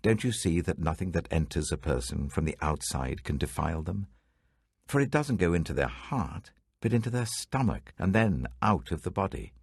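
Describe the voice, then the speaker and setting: A man's voice: low-pitched, sing-song, normal speed, creaky, darkish tone, slightly rough, no echo, studio quality, heavily acted. A British man voicing a stern, authoritative religious teacher in a dramatic scripture reading, emphatic and weighty. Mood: exasperated.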